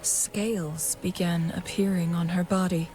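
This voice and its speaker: An actress playing a composed, mysterious young woman strategist in a fantasy video game, speaking softly in measured, formal phrases. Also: fairly low pitch, level tone, rough recording. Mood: grave, composed. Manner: measured, unhurried, formal, soft-spoken. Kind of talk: video game voice acting, storytelling dialogue. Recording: music and rain behind the voice. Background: game music, rain.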